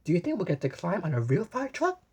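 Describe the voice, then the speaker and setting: A small boy puppy from a cartoon storybook, acted out by a woman. The voice is raised and a little husky. It is childlike and wondering, like a younger brother who is not sure of himself. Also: fairly low pitch, big pitch swings, fast talker, a little mellow, voice pushed up. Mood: curious.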